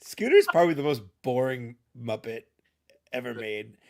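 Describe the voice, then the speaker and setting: A man's voice: medium pitch, sing-song, moderate pace. A man chatting as a guest on a live show, joking and laughing easily. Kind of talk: casual live chat.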